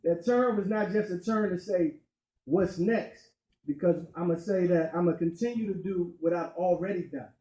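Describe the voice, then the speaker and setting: A man speaking as a candidate at a community meeting, emphatic and deliberate, pausing on key points. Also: high voice, dark tone. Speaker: county council candidate. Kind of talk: campaign speech at community meeting. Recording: echoey meeting room.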